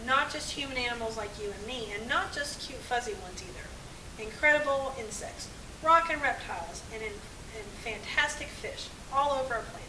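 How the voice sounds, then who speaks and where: A woman presenting an educational puppet show to children, speaking emphatically in short phrases to her audience. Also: fairly high pitch. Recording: echoey, distant mic, clearly hissy.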